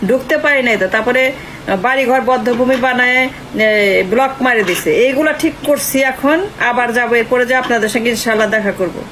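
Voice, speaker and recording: A woman's voice: a little high, normal speed, a bit bright. An older woman with a Bangladeshi accent talks earnestly and emphatically on a phone call, repeating herself and dropping in English phrases. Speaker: writer and activist on a phone call. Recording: noisy phone call recording.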